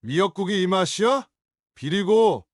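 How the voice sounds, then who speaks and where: An actor playing a hostile, hungover young adult son in an audio drama, sneering and confrontational. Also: male voice, high-pitched, animated, a little slow, dry recording, studio quality. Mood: irritable.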